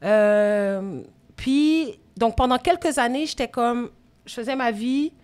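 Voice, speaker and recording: A woman's voice: a little high, takes it slowly, a little mellow, clear, resonant tone. A woman in her thirties from Quebec with a Québécois accent, a rapper guest telling her story openly in an interview. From Quebec, Canada. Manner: open, reflective personal storytelling. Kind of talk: candid conversational interview. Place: live podcast taping at a venue. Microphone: phone recording.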